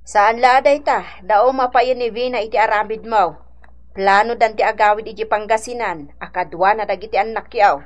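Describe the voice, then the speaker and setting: A woman's voice: mid-range voice, steady tone, moderate pace, lightly acted. A woman with an Ilocano accent playing a lamenting, chiding older mother in a radio drama. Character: fretful, admonishing older mother, caring but stern.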